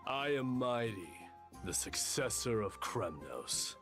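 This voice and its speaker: Proud, stately warrior prince. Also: male voice, on the low side, very animated, slow, a bit bright, heavily acted. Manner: formal, stately. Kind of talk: video game character performance. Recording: music playing behind the voice.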